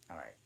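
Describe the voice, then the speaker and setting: A man reading a children's classic aloud in a halting way, often restarting and correcting himself mid-sentence. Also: a bit bright. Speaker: channel host reading aloud.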